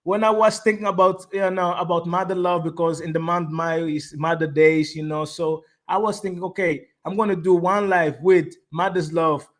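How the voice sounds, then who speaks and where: A man hosting a live online interview, excitable and repeating himself, often stopping to restart a phrase. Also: high voice. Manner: enthusiastic, repeats words, halting restarts. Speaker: host of a live online show. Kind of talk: casual interview.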